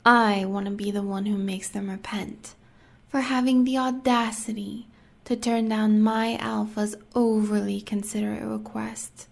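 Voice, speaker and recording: A woman's voice: mid-range voice, flat delivery, measured pace, creaky, darkish tone. A woman with an American accent playing a sadistic, seductive huntress in an audio roleplay, talking in a teasing half-whisper. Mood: pleading.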